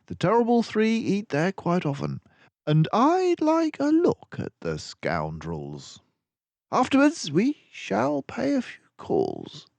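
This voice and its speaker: A man voicing a confident, gentlemanly detective mouse in a children's storybook, theatrical and self-assured. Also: sits high, wildly swooping pitch, slow, gravelly, no room sound, voice pushed up, exaggerated voice. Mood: decisive. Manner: theatrical, self-assured, mannered turns of phrase.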